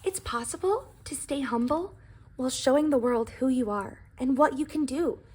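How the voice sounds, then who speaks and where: A woman's voice: sits high, moderate pace, a little mellow, slightly rough. A woman voicing a humble, anxious and earnest young pie who tells its own story in a children's storybook, with dramatic emphasis. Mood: proud. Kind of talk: expressive storybook reading.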